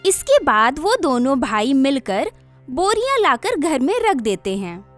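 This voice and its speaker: A woman's voice: high voice, very animated, a little slow, soft background music. A woman reading a scripted story as narrator.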